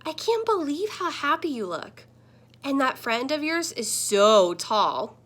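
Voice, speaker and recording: A woman voicing a friendly, earnest talking computer screen that gently scolds, in a children's picture book. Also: sits high, very animated, measured pace, full character voice. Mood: gently scolding. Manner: earnest, explanatory.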